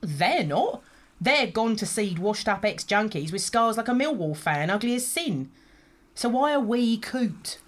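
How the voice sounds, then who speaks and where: A woman's voice: fairly high pitch, big pitch swings, unhurried. A woman playing a hard, scornful smuggler, curt and sardonic, in an audio drama. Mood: scornful, sarcastic. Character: bossy, sarcastic female gun smuggler, hard and scornful.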